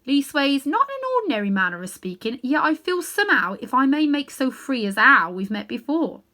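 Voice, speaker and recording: A woman's voice: sits high, big pitch swings, fairly quick, pushed and bright, voice pushed up, heavily acted. A woman reading aloud and voicing a humble, earnest male cab driver with a London Cockney accent, who speaks in a deferential, folksy way.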